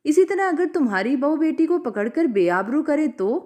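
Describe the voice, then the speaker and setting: A woman's voice: high voice, animated, normal speed, dark tone, pitched above the performer's own voice. A woman voicing a defiant, indignant respectable lady in a story, with an accusing manner.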